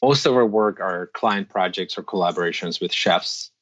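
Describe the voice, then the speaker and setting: Interview guest, middle-aged man from the Czech Republic, very low voice, measured delivery, dull dry recording. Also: a bit bright, dry recording. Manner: thoughtful, restarts often, measured. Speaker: guest, designer and metalsmith. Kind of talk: interview answers.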